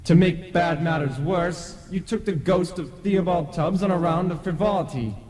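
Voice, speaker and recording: An actor playing a stern, pompous ghost who presides as judge, declaiming officiously in a radio play. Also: male voice, sits high, normal speed, a little mellow, close to an everyday voice. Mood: indignant. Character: stern, pompous male ghost chairman of a tribunal. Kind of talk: old-time radio play acting. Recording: echoey long reverb, faint tape noise.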